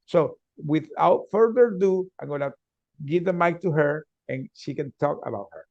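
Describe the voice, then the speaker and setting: Gravelly male host. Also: a little high, expressive, a little mellow, no echo, dull audio. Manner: halting, repeats words, laughs easily. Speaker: show host. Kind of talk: conversational interview.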